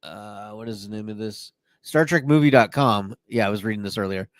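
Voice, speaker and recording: A man hosting a podcast, relaxed and a bit jokey, running through news with many fillers. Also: fairly low pitch, a little fast, no room sound, clean studio sound. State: a little woozy. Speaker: podcast host. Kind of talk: casual chat, reading news.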